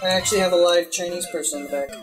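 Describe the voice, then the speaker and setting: A man co-hosting a casual gaming session, teasing his partner with deadpan jokes. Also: high-pitched, racing, faint music behind, low-quality recording. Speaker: co-host.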